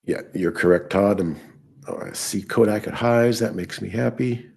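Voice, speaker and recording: Low, husky-voiced man talking through trades. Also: moderate pace, darkish tone. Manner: thinking aloud while working on screen. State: hoarse, losing his voice. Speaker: trading show host. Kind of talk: live trading commentary.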